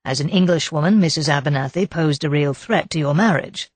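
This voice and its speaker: A calm, methodical male chief inspector, as a woman reader voices him, asking questions in a steady, probing tone. The voice sits low and slightly husky in a dry close recording. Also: dry recording. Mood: pressing. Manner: measured, probing.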